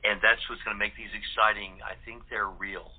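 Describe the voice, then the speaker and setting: A man's voice: fairly low pitch, flat delivery, fairly quick. Earnest man on a tinny phone line. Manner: earnest, rambling, repeats words. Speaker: voice actor, interview guest. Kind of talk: casual interview chat.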